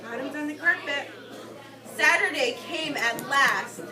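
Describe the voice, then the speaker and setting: A woman's voice: high-pitched, a little slow. A woman teacher reading a storybook aloud to young children, expressively, stopping to explain things in simple words. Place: indoor classroom with carpet. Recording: echoey room, distant mic, loud children's chatter. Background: loud chatter of young children.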